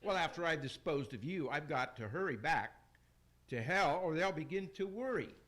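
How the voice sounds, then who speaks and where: Vain theatrical tyrant's ghost from hell, played by a man, declaiming radio-play rhymes with big pitch swings. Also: animated, normal speed, fairly bright tone. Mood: menacing, impatient. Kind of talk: amateur radio play acting.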